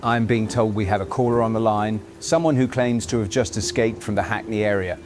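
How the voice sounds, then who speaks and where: A man playing a composed radio news presenter, with a formal, measured broadcast delivery. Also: on the low side, fairly even intonation, background noise, natural voice. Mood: urgent, controlled. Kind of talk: scripted broadcast news narration. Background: music bed.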